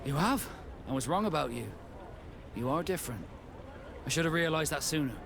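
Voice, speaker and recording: An actor playing a reserved, plain-spoken seafarer in a fantasy game, with a terse, reflective manner. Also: male voice, on the high side, lively intonation, fairly quick, gravelly. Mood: contrite. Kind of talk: fantasy video game acting. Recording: noisy outdoor urban ambience.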